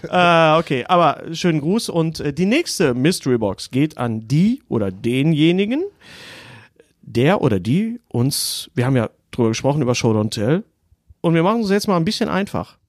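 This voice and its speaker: A man's voice: a little high, sing-song, moderate pace, no echo, studio quality. A male podcast host chatting casually, playful and often repeating himself for emphasis. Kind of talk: casual banter.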